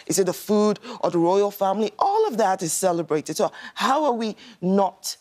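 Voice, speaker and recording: A woman in her thirties argues on a TV panel in a mid-pitched voice. She is emphatic and indignant, stacking rhetorical questions. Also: big pitch swings, normal speed, darkish tone. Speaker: studio guest. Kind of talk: live TV debate. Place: TV studio. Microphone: lapel mic.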